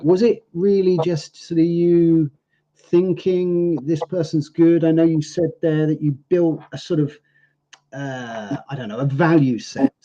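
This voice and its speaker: A man's voice: fairly high pitch, dark tone. Upbeat male host on a lo-fi call. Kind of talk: live online show chat. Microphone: video call.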